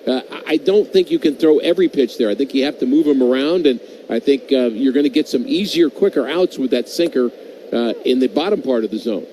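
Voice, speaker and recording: A man's voice: medium pitch, animated, brisk, mellow, a little hiss or hum. A man giving conversational, explanatory baseball analysis as a radio color commentator. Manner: explanatory, unscripted.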